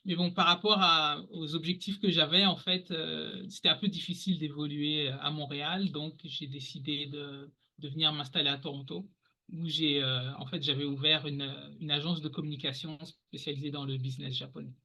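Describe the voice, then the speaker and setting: Bright-voiced French man, halting and chatty. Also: fairly high pitch, steady tone. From France, Paris region. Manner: halting, many fillers and restarts. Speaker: podcast guest. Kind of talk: casual interview talk.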